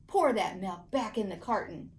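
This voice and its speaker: A woman's voice: mid-range voice, expressive, normal speed, close to an everyday voice. A woman voicing a stern, exasperated babysitter in a picture book, scolding firmly and emphatically. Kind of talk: picture book read-aloud.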